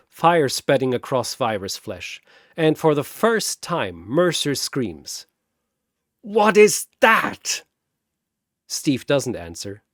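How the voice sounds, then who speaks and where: A man's voice: on the high side, no echo, clean studio sound. A man narrating a scripted battle story and announcing its fighters in a dramatic style.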